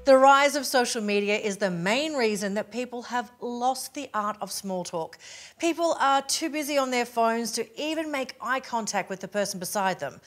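Australian woman, forties, polished presenter, close mic, a touch high-pitched. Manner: polished, upbeat delivery to camera. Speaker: presenter promoting her keynote talks. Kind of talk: scripted to-camera pitch. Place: living room set with sofa, indoors.

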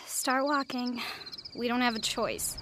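High-voiced reckless teenage girl. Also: animated, unhurried, noisy background. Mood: shaken. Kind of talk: radio drama acting.

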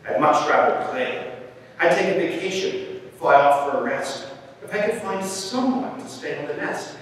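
A man's voice: a little high, animated, moderate pace, voice pushed up, lightly acted. A man voicing a lazy, whiny female bird in a theatrical, wheedling storybook manner. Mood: bored, complaining. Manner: theatrical, pleading complaint. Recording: very echoey hall, distant, faint noise.

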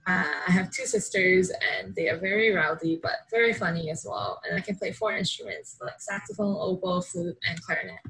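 A woman's voice: fairly low pitch, lively intonation, a little fast, gravelly, hardly any pauses, fairly bright tone, low-quality recording. A young woman from Hong Kong introducing herself over a video call, chatty and upbeat, with many likes and ums. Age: young adult. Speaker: exchange student introducing herself.

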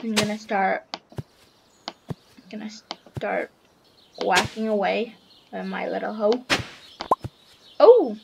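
A young woman with a slightly hoarse voice, chatting casually as she plays a farming game and reads its text aloud. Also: medium pitch, fairly even intonation, slow pace, pauses often, background noise. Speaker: gamer narrating a farming game. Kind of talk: casual let's-play commentary. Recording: game music loud behind voice.

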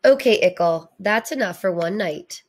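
Woman acting a tender, welcoming lady, very expressive. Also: mid-range voice, moderate pace, pitched below the performer's own voice, natural voice. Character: welcoming adult woman, tender owner of baby tooth.

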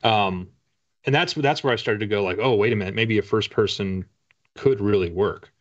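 Low-pitched middle-aged man, casual. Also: moderate pace. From Canada. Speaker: author, interview guest. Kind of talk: casual interview chat. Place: book-lined room indoors, video call. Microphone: video call. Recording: slightly dull video-call audio.

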